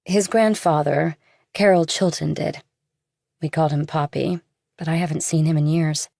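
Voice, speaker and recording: A woman's voice: deep voice, fairly even intonation, moderate pace, creaky, warm tone, no echo, clean studio sound. A woman voicing a bold, inquisitive young civilian, talking bluntly and casually in audiobook dialogue.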